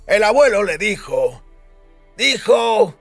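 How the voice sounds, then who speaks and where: A man performs a frail old duke in an audiobook, his voice pitched high. He reminisces fondly about his royal grandfather like a seasoned storyteller, with music playing softly beneath. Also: very animated, slow, dry recording, heavily acted. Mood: nostalgic. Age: older. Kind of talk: dramatised audiobook character.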